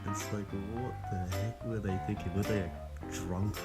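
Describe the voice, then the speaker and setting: A man's voice: lowish voice, steady tone, a little slow, over loud music. A man reading fanfiction aloud with frequent slips and restarts, then chatting casually about it. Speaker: fanfiction reader.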